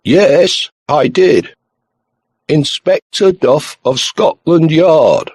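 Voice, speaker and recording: Guy doing a polite detective inspector for a storybook, husky, businesslike, really varied pitch. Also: very animated, normal speed, no echo, studio quality, exaggerated voice. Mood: shouting. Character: steady, courteous male Scotland Yard police inspector.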